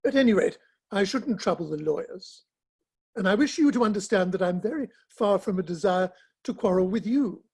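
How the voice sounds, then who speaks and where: A man in a dramatic play reading, playing an earnest, principled Victorian gentleman who speaks in a measured, formal way. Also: high-pitched, lively intonation, slightly rough, full character voice. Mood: earnest, firm.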